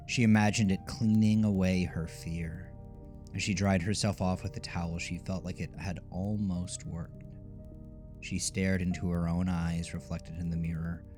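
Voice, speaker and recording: A man narrating a scripted horror audio drama in a deliberate, dramatic storytelling manner. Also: sits low. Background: music.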